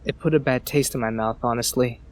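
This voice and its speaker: A man voices a nervous, humble villager in a fantasy audio drama. His mid-range voice is plain-spoken and halting, as if unsure how much to say. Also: medium pitch, steady tone, natural voice. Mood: hesitant, uneasy. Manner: hesitant, halting. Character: uneasy, plain-spoken male villager scavenging in ruins.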